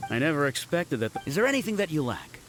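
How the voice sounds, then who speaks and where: Hearty, lively-voiced shopkeeper. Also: male voice, fairly high pitch, fast talker. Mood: obliging. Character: obliging, hearty male shopkeeper. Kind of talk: English anime game voice acting. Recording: clear game music behind.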